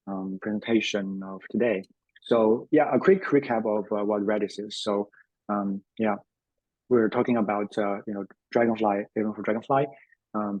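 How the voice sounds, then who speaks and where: A man's voice: on the low side, darkish tone, dry recording, poor audio. A man hosting a tech webinar as a developer advocate, speaking casually with lots of fillers and restarts. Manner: hesitant, many fillers and restarts.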